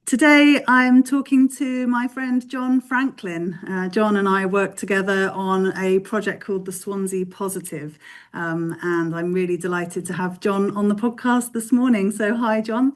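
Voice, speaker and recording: A woman's voice: mid-range voice, steady tone, a little slow. A woman hosts a podcast with a mid-range voice, welcoming her guest and asking questions in a friendly, conversational manner with occasional fillers. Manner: friendly, slightly hesitant with fillers. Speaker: podcast host. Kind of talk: casual interview hosting.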